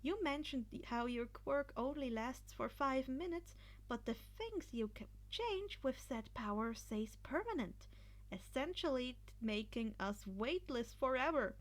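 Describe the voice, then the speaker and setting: A woman's voice: sits high, lively intonation, a little slow. A woman voicing an earnest, analytical teenage boy who explains at length, in an amateur story reading. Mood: earnest, explaining. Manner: rambling, explanatory. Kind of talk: amateur fan fiction audio reading.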